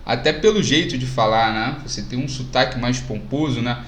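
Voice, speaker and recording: A Brazilian man teaching economics to camera, casual and animated as he points out figures on a chart. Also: medium pitch, normal speed, echoey room, a little hiss or hum. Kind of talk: lecture to camera over charts.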